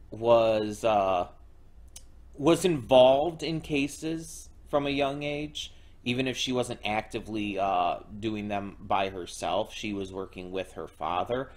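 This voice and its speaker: A young man casually recapping a show episode as a reaction host, halting with many fillers and restarts. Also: mid-range voice.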